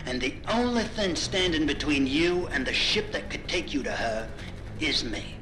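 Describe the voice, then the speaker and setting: A man's voice: fairly high pitch, expressive, normal speed, close to an everyday voice. An actor playing a ruthless, controlled military commander in a video game, a man who taunts with clipped self-assurance. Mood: cold menace. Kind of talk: video game dramatic acting. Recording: loud music behind the voice.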